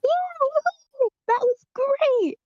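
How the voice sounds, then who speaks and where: A performer playing a wide-eyed, excited young girl in a table read, gushing and exclamatory, on a dull, muffled microphone. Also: very high voice, slow, no echo. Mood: delighted. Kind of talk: character acting in a screenplay table read.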